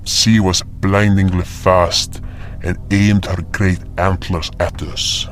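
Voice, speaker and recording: An actor narrating as a solemn fantasy hunter who recounts his journey in a measured, dramatic way. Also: deep voice, level tone. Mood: grim, dramatic. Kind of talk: scripted first-person fantasy storytelling.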